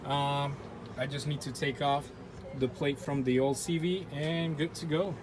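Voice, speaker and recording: A man's voice: on the high side, steady tone, unhurried, noisy background. A man giving an informal, plain-spoken hands-on walkthrough in a casual vlog style. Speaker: video creator showing a radio install.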